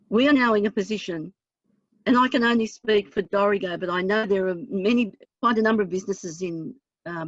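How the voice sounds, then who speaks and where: Husky woman councillor. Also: medium pitch, no echo. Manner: earnest, measured, plain-spoken. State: hoarse from lingering smoke. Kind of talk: council meeting speech. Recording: dry, dull lo-fi meeting audio.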